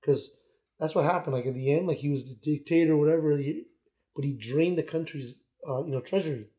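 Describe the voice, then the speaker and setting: A man's voice: on the high side, fairly quick, warm tone. A man co-hosting a podcast, talking in a rambling, casual way with frequent restarts. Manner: rambling, with many restarts and put-on quoted voices. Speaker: podcast co-host. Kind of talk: casual chat. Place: Miami.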